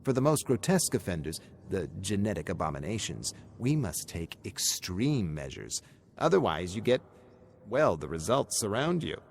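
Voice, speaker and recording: A man's voice: deep voice, very animated, normal speed, creaky, slight background noise, full character voice. A man voicing a deranged, zealous woman in an asylum, rambling conversationally and menacingly in a horror story. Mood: chillingly righteous. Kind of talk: horror audiobook character voice.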